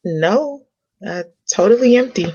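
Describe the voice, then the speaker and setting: An actress playing a poised, self-confident young woman in a comedy table read, speaking in a measured, polite way. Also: mid-range voice, lively intonation, dark tone, highs cut off, a little hiss or hum.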